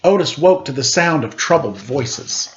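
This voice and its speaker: A man reading a children's story aloud with an expressive, playful delivery, as a storyteller at home. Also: on the high side, unhurried. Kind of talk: storybook read-aloud with casual asides. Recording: dull home recording with faint noise. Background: faint household background noise.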